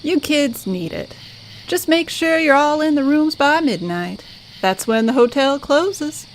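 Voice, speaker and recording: A woman's voice: high voice, animated, measured pace, a little hiss or hum, rough recording. A woman voicing a folksy, hospitable squirrel hotel keeper in an audio drama. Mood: sympathetic. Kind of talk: audio drama character acting.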